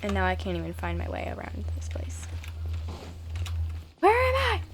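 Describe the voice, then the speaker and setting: A woman's voice: mid-range voice, huge pitch swings, normal speed, noisy background. A woman hosting a gaming video with casual playthrough commentary, exclaiming and talking to the game as she plays. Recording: game music behind the voice.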